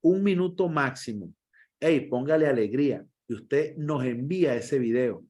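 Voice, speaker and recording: A man, a first aid instructor, closing an online class over a video call in a lively, encouraging way and repeating himself often. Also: mid-range voice, unhurried.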